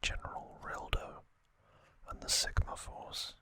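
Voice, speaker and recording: A man narrating trivia as an ASMR host in a gentle, breathy, deliberate whisper.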